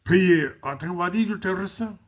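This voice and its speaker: A man's voice: high voice, moderate pace. A man giving casual spoken political commentary, musing and repeating himself, asking rhetorical questions.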